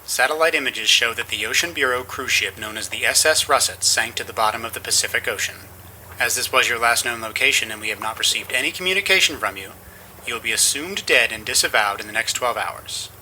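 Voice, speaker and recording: An actor playing an officious briefing announcer in a spy comedy audio drama, formal and mock-solemn. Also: male voice, medium pitch, brisk, sharp tone, slight background noise, low-quality recording. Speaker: series announcer and mission briefing voice. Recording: music behind the voice.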